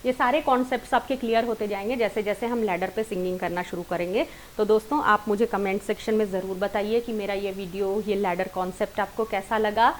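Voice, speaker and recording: A woman's voice: medium pitch, fairly even intonation, a little fast, few pauses, slight background noise, low-quality recording. A woman with an Indian accent giving an informal singing lesson online, explaining directly to viewers and mixing in English terms. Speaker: singing teacher.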